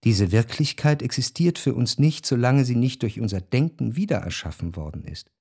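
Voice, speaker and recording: An actor playing a reflective, grieving narrator in a literary radio drama, with measured, introspective and deliberate phrasing. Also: male voice, mid-range voice, expressive, vocal fry, a little mellow, breathy edge. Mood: contemplative. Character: reflective, grieving male narrator remembering his grandmother.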